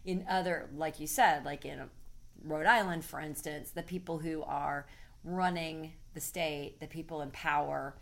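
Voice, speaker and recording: A woman from the American South with a notably low voice, chatting casually. She keeps chiming in with agreement while she lays out her views. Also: fairly even intonation, measured pace, vocal fry, fairly bright tone. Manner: chatty, frequent agreeing interjections. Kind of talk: casual conversation.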